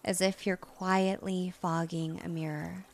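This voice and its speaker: A woman hypnotist gently narrating a guided relaxation, soothing and unhurried, with long pauses between phrases. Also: fairly low pitch, little pitch movement, slight background noise.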